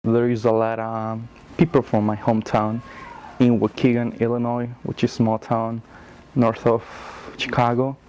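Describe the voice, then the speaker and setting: A man from Mexico, telling his family history in a measured oral history interview. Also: medium pitch, monotone, a little mellow, a little hiss or hum, low-quality recording. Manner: measured, with pauses and fillers.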